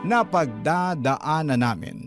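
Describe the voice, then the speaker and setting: Male dramatic storyteller, fairly high voice, measured halting delivery, clear music bed underneath. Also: lively intonation. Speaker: radio drama narrator reading a letter sender's story. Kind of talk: first-person dramatic storytelling narration. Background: prominent background music.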